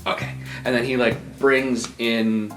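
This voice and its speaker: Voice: mid-range voice, fairly even intonation, measured pace, roomy sound, soft background music. A tabletop roleplay player improvising casually, moving between description and in-character talk.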